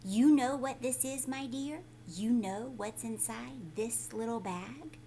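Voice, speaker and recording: A woman's voice: a little high, warm tone, a little hiss or hum. Woman voicing a creepy, whispering little old man. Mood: sly, teasing. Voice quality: breathy, whispery. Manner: slow, drawn-out. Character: peculiar small old man, creepy and coaxing. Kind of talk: children's storybook read-aloud.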